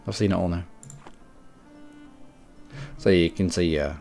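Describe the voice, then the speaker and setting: Low gravelly man with a head cold. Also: unhurried, mellow, faint music behind. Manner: rambling, self-correcting, clears throat often. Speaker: streamer. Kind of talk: casual game commentary.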